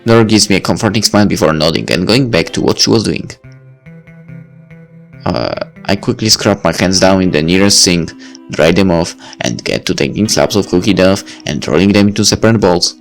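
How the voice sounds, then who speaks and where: A man's voice: deep voice, brisk, gravelly, faint music behind. A young man reading a visual novel aloud, adding wry, chatty asides. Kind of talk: reading aloud with off-the-cuff comments.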